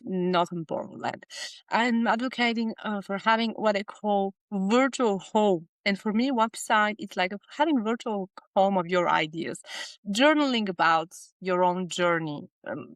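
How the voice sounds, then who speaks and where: A woman with a Bulgarian accent talks earnestly in a casual podcast chat, stacking up short listed phrases. Her voice sits at an ordinary middle pitch. The sound is dry and close, with no room around it. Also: a bit bright, no room sound. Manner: speaks in short listed fragments, earnest and enthusiastic. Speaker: guest business psychologist.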